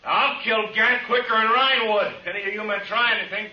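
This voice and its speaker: An American actor playing a wily, persuasive prisoner wanted for killing, in a 1950s radio western. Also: male voice, high-pitched, steady tone, brisk, lightly acted. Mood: defiant, threatening. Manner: urgent, persuasive. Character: wily male prisoner, a wanted killer scheming to escape. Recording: muffled, noisy old radio recording.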